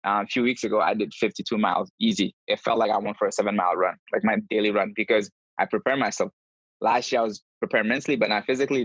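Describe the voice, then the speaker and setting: Low-voiced man on a dull video call. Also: fairly bright tone, no room sound. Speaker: podcast guest, endurance runner. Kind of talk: casual conversational interview answers.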